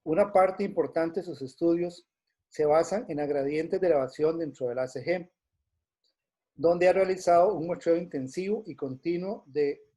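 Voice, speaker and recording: A man hosting a webinar, reading a formal introduction of the guest in a measured way with occasional hesitations. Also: fairly high pitch, dark tone. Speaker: event host.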